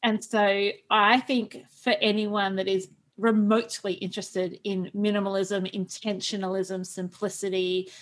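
Woman host, upbeat interviewer, mid-pitched, conversational. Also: level tone, unhurried. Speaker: podcast host. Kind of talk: casual interview chat.

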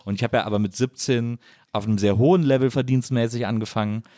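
A man's voice: mid-range voice, steady tone, fast, warm tone, no echo, clean studio sound. A man hosting a podcast in a casual chat, talking colloquially and rambling along. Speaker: podcast host.

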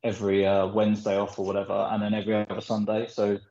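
A man's voice: fairly low pitch, fast. A man, a pool player born in the Philippines, chatting as a podcast guest in rambling, filler-heavy anecdotes. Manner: rambling, animated storytelling with frequent fillers. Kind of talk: casual podcast interview. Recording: echoey, dull lo-fi recording.

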